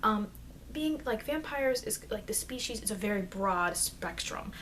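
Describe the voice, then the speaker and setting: A woman playing a chatty, informal immortal vampire teacher in an ASMR roleplay, rambling hesitantly and confidingly, with soft dips into whispering. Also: mid-range voice, lively intonation, a little slow. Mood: confiding, defensive. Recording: noisy amateur microphone.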